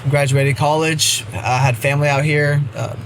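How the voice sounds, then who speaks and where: A man's voice: fairly high pitch, steady tone, moderate pace. A young man in his twenties, an amateur fighter, answering interview questions matter-of-factly. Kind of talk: casual interview chat. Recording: noisy background.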